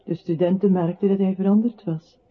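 A woman performing as the narrator of a literary radio play, telling a man's story in a measured way. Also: on the low side, fairly even intonation. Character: woman narrator recounting a troubled man's life. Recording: tinny band-limited audio with clear noise.

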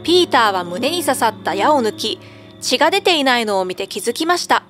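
A woman's voice: on the high side, sing-song, brisk, music in the background. A woman narrating a scripted children's fairy tale as a storyteller.

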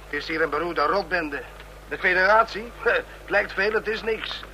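A cynical male passenger grumbles with scorn in an old radio play. His voice is high and piercingly bright. There is faint noise on the recording. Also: normal speed, rough recording. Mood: cynical, grumbling. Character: disgruntled, cynical male train passenger reading the newspaper. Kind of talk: radio drama acting.

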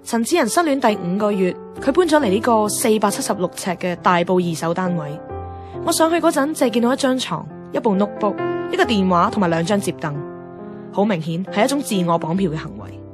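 An actress as a young Hong Kong Cantonese woman, the blunt best friend who nags and teases. Her medium-pitched voice is brisk and theatrical, in radio drama style, with music playing clearly behind her. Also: animated, natural voice. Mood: wry narrating. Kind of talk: radio drama acting and narration.

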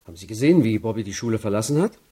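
A man's voice: fairly low pitch, animated, very fast, dark tone, breathy edge. An actor playing a stern, worried middle-aged father in a radio crime drama, with clipped, demanding questions. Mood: stern, impatient.

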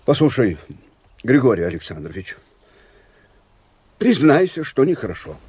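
An actor playing an older, stiffly official yet kindly staff captain with a husky voice in a radio drama. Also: male voice, mid-range voice, very animated, normal speed, darkish tone, a little hiss or hum, natural voice. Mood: reproachful. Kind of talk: Russian radio drama acting.

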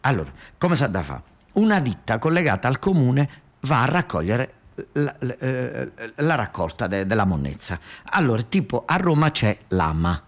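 A man's voice: medium pitch, sing-song, moderate pace. A man with a southern Italian accent performing a theatrical narrative monologue in a colloquial, emphatic, repetitive way. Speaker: actor-storyteller.

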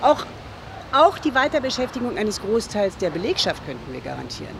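A woman's voice: medium pitch, big pitch swings, fairly quick. An actress playing a cool, polite, businesslike female corporate agent in a radio drama. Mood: cool, negotiating. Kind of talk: naturalistic radio drama acting. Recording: clear plant machinery noise behind.

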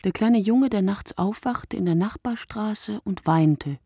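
Radio play: lonely, wakeful woman writer, contemplative and measured, soft dark tone, very dry close sound. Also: mid-range voice, husky, dry recording. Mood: wistful, narrating. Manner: measured, contemplative, lyrical delivery. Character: sleepless woman writer brooding on night and loneliness. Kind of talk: literary radio play monologue.

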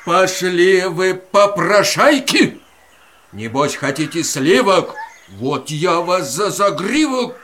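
Sharp, grumpy old tomcat. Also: male voice, high voice, big pitch swings, takes it slowly, a little hiss or hum, full character voice. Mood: gruff, scolding. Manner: scolding, blustering. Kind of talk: children's audio play.

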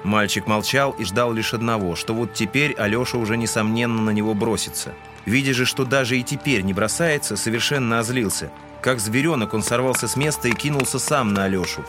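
A man's voice: medium pitch, a little fast, hardly any pauses. A man giving scripted literary narration in an audio drama. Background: music.

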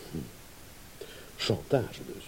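An actor playing a self-assured, impatient philandering husband in a radio play, sardonic, blunt and mocking. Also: male voice, slow pace, close to an everyday voice, low-quality recording. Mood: scornful. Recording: hissy old radio play recording.

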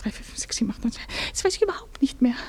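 A jittery noblewoman with a slightly high voice. She gets flustered and murmurs half to herself, and her voice turns sharp when she is unsettled. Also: very animated, racing, breathy edge, whispered, exaggerated voice. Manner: nervous, flustered, counting under breath. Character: nervous aristocratic lady guest, adult. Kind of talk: radio play acting.

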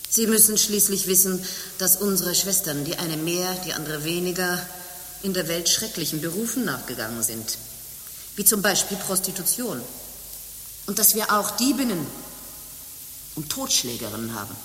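Haughty low-voiced abbess in echoing convent. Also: female voice, expressive, moderate pace, fairly bright tone, slight background noise. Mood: solemn, frank. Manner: formal, theatrical, haughty. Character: worldly, stern abbess of a convent of sinners. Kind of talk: German radio play acting. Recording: long reverb, music behind.

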